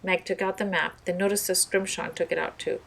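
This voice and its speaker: A woman's voice: on the low side, fairly even intonation, fairly quick, gravelly, fairly bright tone. A woman narrating a children's mystery storybook as a read-aloud storyteller.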